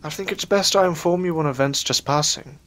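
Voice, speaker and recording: A man playing a weary, bewildered adventurer in an audio drama, speaking in a halting, earnest way. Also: a little high, a bit bright, close to an everyday voice. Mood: wistful. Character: weary, bewildered male adventurer returned from limbo, reflective and sincere.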